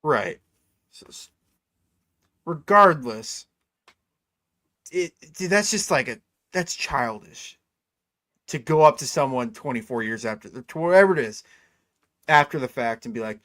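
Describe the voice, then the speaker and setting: A man's voice: mid-range voice, lively intonation, unhurried, pauses often, a bit bright, no echo, clean studio sound. A man in his twenties co-hosting a casual sports podcast, laid-back and saying "dude" a lot. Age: 20s. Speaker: co-host.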